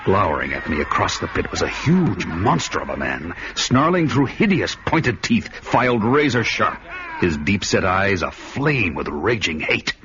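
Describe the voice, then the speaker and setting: Grave barbarian storyteller, low voice, lo-fi radio drama. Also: male voice, sing-song, measured pace, noisy background. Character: grown Conan, brooding barbarian narrator. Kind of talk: old radio drama narration. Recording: dull old radio audio, loud music.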